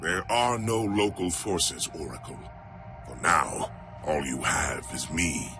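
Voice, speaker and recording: Alien warrior commander, very deep and grave, expressive range, loud score under. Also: male voice, gravelly, music in the background, full character voice. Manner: solemn, commanding, measured. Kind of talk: video game cutscene acting. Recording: game audio with loud score.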